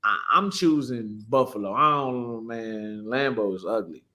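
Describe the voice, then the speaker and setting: A man's voice: mid-range voice, measured pace, pushed and bright. An American man, likely in his twenties, chatting casually about sports in a slangy, profane, bantering way. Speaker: podcast co-host, pro football player.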